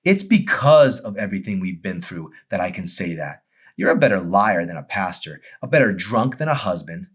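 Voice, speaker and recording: A man's voice: deep voice, sing-song, moderate pace, breathy edge, heavily acted. A man voicing a bitter, fed-up wife in an audiobook, cutting, sarcastic and emphatic. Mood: scornful, sarcastic. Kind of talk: dramatic audiobook character acting.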